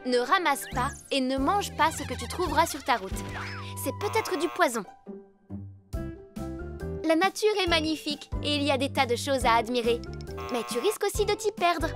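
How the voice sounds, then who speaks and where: A woman voicing a caring female rescue vehicle in a children's cartoon, patiently explaining and instructing. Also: sits high. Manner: clear explaining, patient safety advice. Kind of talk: children's animated series dub. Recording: music behind the voice.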